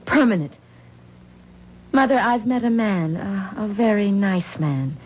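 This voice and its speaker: An actress gives voice to a subdued young wife in an old radio play, hesitant and worn out. The broadcast is dull, with a faint hiss in the background. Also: female voice, medium pitch, expressive, measured pace, a little mellow, close to an everyday voice. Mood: weary. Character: weary young wife, Tessa, leaving husband. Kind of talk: 1970s radio drama acting.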